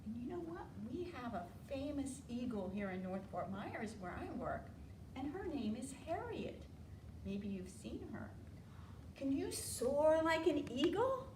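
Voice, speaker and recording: American woman, children's storytime leader, high voice, unhurried simple phrasing, distant roomy mic. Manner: animated, simple questions to young children, drawn-out key words. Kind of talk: interactive preschool storytime. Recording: roomy, distant mic, faint room noise.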